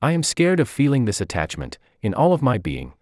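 A man reading a personal essay aloud in a measured, reflective way. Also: mid-range voice, huge pitch swings, a little mellow, no room sound, clean studio sound. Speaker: article reader.

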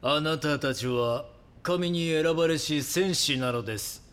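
An actor playing a calm, grandiose and menacing cult guru who preaches solemnly in an audio drama. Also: male voice, on the high side, a little slow, smooth. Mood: solemn, preaching. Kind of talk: Japanese audio drama acting.

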